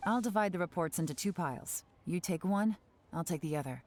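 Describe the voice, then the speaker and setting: A woman voicing a shrewd, composed female investigator in a video game, measured and businesslike. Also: lowish voice, animated, darkish tone, a little hiss or hum, close to an everyday voice. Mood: composed, assured.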